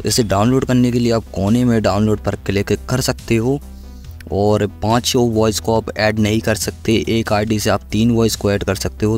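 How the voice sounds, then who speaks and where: A man's voice: lowish voice, a little mellow. A man giving a casual step-by-step tutorial, instructing and repeating each step. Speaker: tech tutorial host. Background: music.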